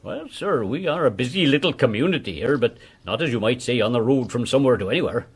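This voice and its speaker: An actor playing a chatty, deferential village postmaster and old soldier in a period radio drama. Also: male voice, medium pitch, expressive, fast talker, slightly rough. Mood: chatty, inquisitive. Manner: garrulous, deferential, inquisitive. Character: chatty, deferential village postmaster, a one-legged former army corporal.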